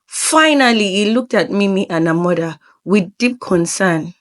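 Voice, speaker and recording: Slow, solemn woman storyteller. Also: mid-range voice, lively intonation. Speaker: storyteller, narrator. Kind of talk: dramatic scripted folktale narration.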